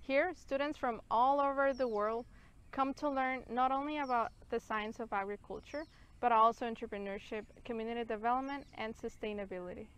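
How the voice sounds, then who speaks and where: A young woman reading a scripted video narration in a clear, measured way. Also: a little high, fairly bright tone. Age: young adult. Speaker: student presenter.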